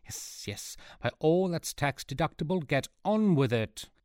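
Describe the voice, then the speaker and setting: A man's voice: on the high side, animated, normal speed, smooth, no room sound, clean studio sound, voice pushed up, lightly acted. A man voicing a scheming, pompous male royal advisor who is sarcastic and impatient. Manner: sarcastic, bossy.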